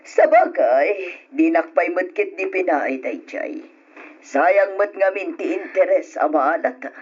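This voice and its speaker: Casting: sly, greedy female lender, Ilocano accent, unhurried, swooping delivery, old radio drama. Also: lowish voice, lively intonation, a little mellow, slightly rough, muffled recording, heavily acted. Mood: scheming, smug. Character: hard-nosed, greedy woman moneylender, sly and taunting.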